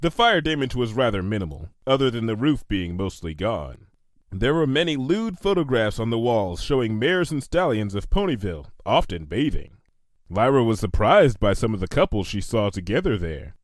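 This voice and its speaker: A man's voice: fairly low pitch, big pitch swings, moderate pace, no echo. A man reading comedic fan fiction as a scripted storybook narrator.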